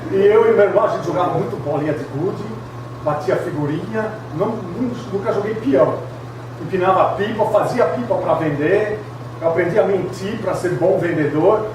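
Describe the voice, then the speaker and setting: A man's voice: high-pitched, normal speed. A middle-aged Brazilian man preaching at a retreat, telling personal stories in a chatty, humorous way with frequent tag questions. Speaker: pastor, retreat speaker. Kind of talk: humorous personal testimony sermon. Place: large echoey hall at a retreat. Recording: very echoey hall, distant mic, background noise.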